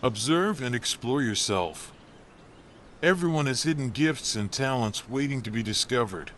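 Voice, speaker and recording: A man voicing a wise, kindly old elephant in a children's storybook, speaking softly and encouragingly. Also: medium pitch, animated, normal speed, a little hiss or hum, close to an everyday voice. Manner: soft, gentle, encouraging.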